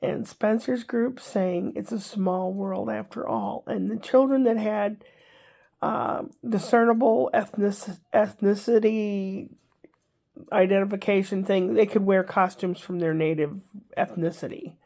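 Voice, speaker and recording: Congested older woman, gravelly voice. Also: mid-range voice, fairly even intonation, a little slow, warm tone. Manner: rambling, chatty, wry asides. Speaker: vlogger. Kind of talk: casual chat to camera.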